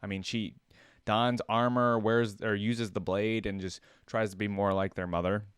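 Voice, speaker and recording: A man's voice: fairly low pitch, flat delivery, moderate pace, clear, resonant tone, no room sound, clean studio sound. A man hosting a reaction video, giving slangy, musing fan commentary in a casual way, with short interjections.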